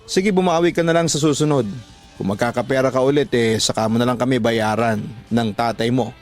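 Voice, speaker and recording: A man's voice: medium pitch, fairly quick, faint music behind, natural voice. Man acting as soothing, caring older mother. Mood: soothing, worried. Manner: soothing, reassuring. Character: caring older mother comforting her jobless grown son. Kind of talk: Tagalog radio drama acting.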